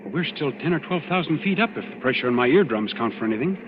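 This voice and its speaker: A man's voice: mid-range voice, expressive, fast, lightly acted. A man acting a breezy naval officer and protective fiancé in a 1940s radio thriller. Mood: uneasy, evasive. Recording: muffled, very noisy 1940s radio recording.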